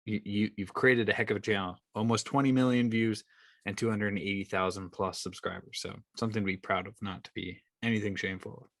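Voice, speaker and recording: A man's voice: on the low side, steady tone, quick pace, no room sound, studio quality. A man hosting a podcast interview, asking long rambling questions full of restarts. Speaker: podcast host. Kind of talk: conversational interview.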